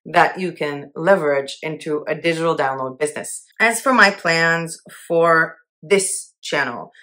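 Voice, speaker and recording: A woman's voice: sits low, measured pace, pushed and bright. A young woman hosting her own channel, chatting casually and upbeat to camera about her monthly goals and laughing at herself now and then. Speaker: channel host.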